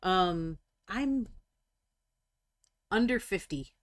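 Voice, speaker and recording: A woman in her forties chatting casually while painting miniatures on a live stream, talkative, joking about herself and quick to apologize. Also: medium pitch, animated. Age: 40s.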